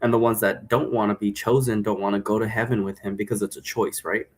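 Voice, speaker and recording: A man's voice: on the low side, steady tone, fast, breathy edge. A man hosting a solo vlog, talking in a chatty, informal, meandering way. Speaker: host. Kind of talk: casual rambling solo vlog. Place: indoor room at home.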